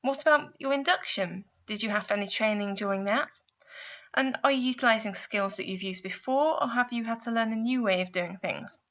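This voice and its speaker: A woman's voice: on the high side, brisk, a bit bright, lo-fi sound. A woman reads a scripted instructional voice-over as a placement adviser, explaining step by step and putting guiding questions to the listener. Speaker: university placement adviser narrating a guidance video.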